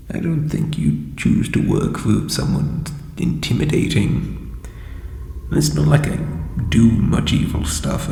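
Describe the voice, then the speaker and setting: Man playing a gravelly, hapless undead lich king. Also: medium pitch, a little mellow, reverberant, in a large hall, slight background noise, exaggerated voice. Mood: self-doubting. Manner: hesitant, stammering, sheepish, rambling. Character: hapless soft-hearted undead skeleton lich king, failed villain. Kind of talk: character roleplay audio drama monologue.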